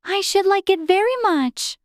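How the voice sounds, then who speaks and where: Very high-pitched prim little girl. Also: a little slow, dry recording, studio quality. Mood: polite, curious. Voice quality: high, childlike. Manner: polite, prim, questioning. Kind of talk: dramatized storybook reading.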